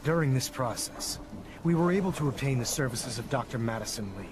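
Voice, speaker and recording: A man playing a stern, zealous military leader in a video game, speaking in a formal, authoritative, deliberate way. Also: mid-range voice, lively intonation, lightly acted. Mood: solemn, commanding. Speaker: military commander briefing a soldier. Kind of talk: video game cutscene acting. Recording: noisy game audio with engine ambience.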